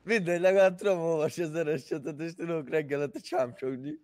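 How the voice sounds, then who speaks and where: A man's voice: fairly high pitch, lively intonation, gravelly, a little mellow, dry recording. A man chatting casually in a roleplay game, with crude joking. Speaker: fellow roleplay gamer.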